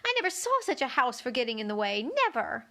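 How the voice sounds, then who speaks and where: A woman's voice: a little high, very animated, fast, bright, forceful tone, exaggerated voice. A woman playing a polite, curious young girl from a children's storybook, speaking in an earnest, inquisitive manner. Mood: exasperated.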